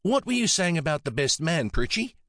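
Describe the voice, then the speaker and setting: A man voicing a kindly, affectionate elderly woman with a soft Antipodean accent and a fond, coaxing manner. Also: on the high side, sing-song, brisk, no room sound, clean studio sound, voice pushed up. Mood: gentle, helpful.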